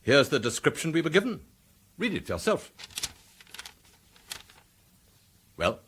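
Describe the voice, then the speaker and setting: A haughty senior police chief in a radio drama, with a husky voice that swings widely in pitch. He speaks in a cold, clipped manner and gives orders as though he expects them to be obeyed. The delivery stays stern and imperious. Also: wildly swooping pitch, brisk, a bit bright, heavily acted. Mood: interrogating. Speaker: police commissioner figure.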